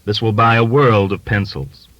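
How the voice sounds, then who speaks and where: A man's voice: fairly low pitch, fairly bright tone, no echo, muffled recording, natural voice. A man voicing a plump, middle-aged hustler in an audiobook, jovial and teasing, with a folksy, cajoling way of talking. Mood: jubilant. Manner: folksy, ingratiating, drawn-out cajoling.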